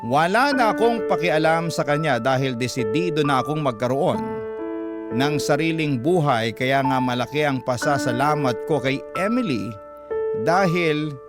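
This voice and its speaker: A man narrating a listener's letter in the first person in a classic radio style, earnest and measured. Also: mid-range voice. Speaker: storyteller reading a listener's letter. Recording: loud background music.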